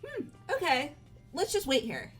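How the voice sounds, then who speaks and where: A woman voicing a sweet, sincere, gently teasing teenage girl in a video game. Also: sits high, wildly swooping pitch, measured pace, soft background music, exaggerated voice. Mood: thoughtful. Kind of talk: fan voice acting of visual novel dialogue.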